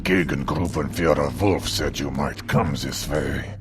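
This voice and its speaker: An actor playing an arrogant, menacing, fanatical officer villain in a video game, pompous and condescending. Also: male voice, extremely low pitch, steady tone, measured pace. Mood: contemptuous. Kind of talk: video game cutscene acting. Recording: game audio with music behind.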